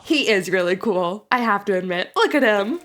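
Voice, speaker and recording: A woman's voice: mid-range voice, animated, moderate pace, a bit bright, faint music behind. Chatty woman voicing a game playthrough. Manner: chatty, self-interrupting asides. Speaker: fan voice actor and narrator. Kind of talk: casual game voice-over commentary.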